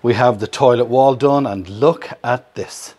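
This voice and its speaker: A chatty Irish man in his fifties talks straight to a camera held at arm's length, upbeat and explaining as he goes. His voice sits at an ordinary middle pitch, and the sound is close and casual, recorded in a workshop and inside a van. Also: a little slow, a little mellow. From Ireland. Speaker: vlogger host. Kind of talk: casual vlog narration. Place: workshop yard and inside a van under build.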